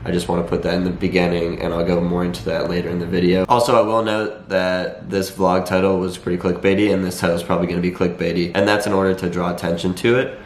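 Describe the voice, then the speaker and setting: A young man with a slightly raspy voice and a cold, explaining things to viewers in a measured, matter-of-fact vlog style. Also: low voice, level tone. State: has a cold. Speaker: vlogger. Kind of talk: talking to camera, explanatory vlog. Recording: echoey room, faint background noise.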